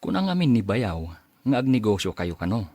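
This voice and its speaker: A man's voice: fairly low pitch, sing-song, fairly quick, mellow, dry recording. A man playing a humble farmer. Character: humble hardworking young farmer. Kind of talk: audio drama acting.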